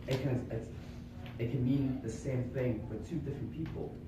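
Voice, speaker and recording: A man's voice: mid-range voice, fairly even intonation, normal speed, noisy background. A young man, from Vietnam and raised in Florida, giving a prepared club speech in an earnest, explanatory way with rhetorical questions. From born in Vietnam, raised in Florida. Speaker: club speaker. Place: meeting room with small audience. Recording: echoey room from far off.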